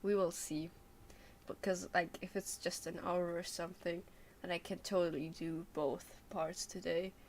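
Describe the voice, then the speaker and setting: Slow-paced young woman streamer, hesitant. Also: lowish voice, level tone, slight background noise. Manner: hesitant, rambling, thinking aloud. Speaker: game streamer. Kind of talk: casual livestream chat.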